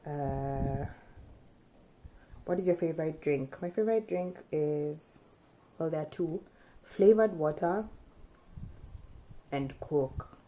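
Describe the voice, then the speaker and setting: A young woman vlogger from Kenya answering tag questions in relaxed, chatty talk, often thinking aloud, recorded by a camera in front of her in a small room. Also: low-pitched, slow pace, pauses often, a little hiss or hum, poor audio. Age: young adult. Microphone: camera in front at close range.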